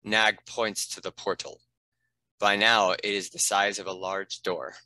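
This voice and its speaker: A man's voice: lowish voice, little pitch movement, unhurried, sharp tone. A man reading scripted narration and stage directions for a fantasy script read.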